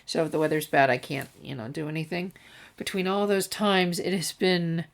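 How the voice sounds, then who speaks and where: A woman with a slightly low voice hosts a fan fiction reading channel. She speaks as a storyteller and amateur host, recording in an ordinary room at home. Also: steady tone, moderate pace, vocal fry. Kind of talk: scripted story narration and casual sign-off. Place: room at home without soundproofing.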